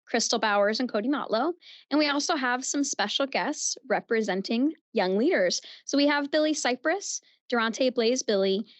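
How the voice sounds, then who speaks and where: A woman hosting a podcast, reading a clear, orderly introduction of her guests. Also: a little high, dry recording. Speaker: podcast host.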